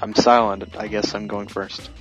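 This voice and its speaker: A man's voice: lowish voice, flat delivery, moderate pace, fairly bright tone, soft background music. A man doing casual, crude, joking commentary with friends over a video game. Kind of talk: casual group gameplay commentary.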